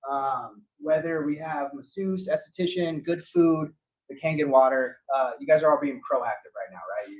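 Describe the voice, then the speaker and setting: A young man in his twenties, a chiropractor, giving a relaxed, friendly talk to an event audience. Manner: relaxed, friendly, informal pitch. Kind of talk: casual speech to an audience. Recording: distant, dull event audio.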